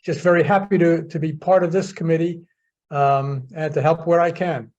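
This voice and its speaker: A man's voice: a little high, steady tone, moderate pace, darkish tone. Easygoing man from New York, muffled line. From New York City. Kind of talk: meeting self-introduction. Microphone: video call.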